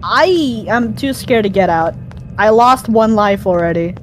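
A woman chatting casually while playing a group horror game, excitable and jittery, full of exclamations. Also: medium pitch, unhurried, a little hiss or hum, poor audio. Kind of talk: casual gaming chat.

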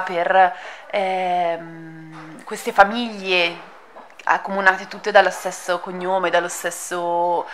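A woman's voice: mid-range voice, pushed and bright. A woman giving an informal spoken book review, hesitant and thinking aloud as she goes. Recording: audible background noise.

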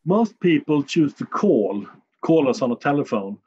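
A man with a Stockholm Swedish accent speaks English as a second language, answering questions in a measured, plain-spoken way. His voice sits a little high for a man, and the recording sounds slightly dull and muffled. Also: very animated, mellow, husky. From Sweden. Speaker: guest, communications manager. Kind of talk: podcast interview answers.

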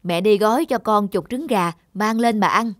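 A woman voicing a worried, hard-working rural mother in a cartoon, gently chiding and plain-spoken. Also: medium pitch, moderate pace, natural voice. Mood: flustered, subdued. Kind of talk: animated drama dubbing. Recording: music under the voice.

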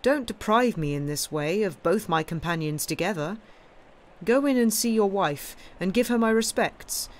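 An audiobook reader voicing a wealthy, courteous nobleman who speaks calmly and gently. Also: medium pitch, voice pushed up, close to an everyday voice. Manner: calm, courteous, measured. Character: suave wealthy male count, gentle and commanding. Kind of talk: audiobook dialogue.

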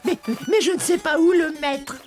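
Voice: very high-pitched, moderate pace. An actor voicing a sneaky, scatterbrained goblin prankster, stammering and theatrical, in a children's cartoon. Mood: flustered. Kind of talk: children's cartoon acting, French dub. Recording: music behind the voice.

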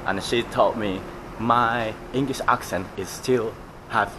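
A man in his forties with a Japanese accent, hosting a vlog in casual, halting English from a busy station concourse, camera at arm's length. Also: lowish voice, steady tone, noisy background. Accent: Japanese, with some Australian. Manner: halting non-native English with fillers. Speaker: vlog host. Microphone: camera held at arm's length. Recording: music playing under the voice.

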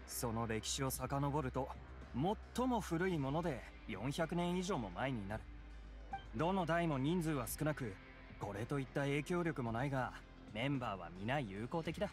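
Friendly game inmate, male actor: medium pitch, very varied intonation, earnest and plain-spoken. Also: animated, moderate pace, over music, lightly acted. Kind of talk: anime game voice acting.

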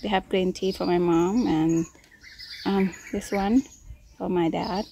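A woman vlogging casually while riding a bicycle on country roads, speaking haltingly with small laughs, with Thai as her native tongue, holding the phone camera in one hand. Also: fairly low pitch, fairly even intonation, vocal fry. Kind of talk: casual vlog narration while cycling. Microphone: handheld phone camera. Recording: loud outdoor wind and road noise.

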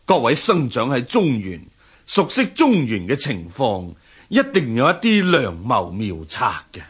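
Radio drama Mongol warlord: commanding, slow, very animated intonation, Hong Kong Cantonese accent. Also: male voice, medium pitch, slightly rough, full character voice. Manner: slow, declamatory, imperious. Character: Mongol general, commanding, receptive to counsel. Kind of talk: historical radio drama acting.

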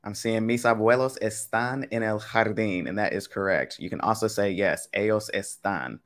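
A low-pitched young man running a live-streamed language quiz from his home setup. He speaks in a loose, chatty way, addressing the chat directly, with a somewhat deep voice. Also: normal speed. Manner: casual, chatty, talks to chat with y'all. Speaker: Spanish teacher. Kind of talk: live-streamed game lesson.

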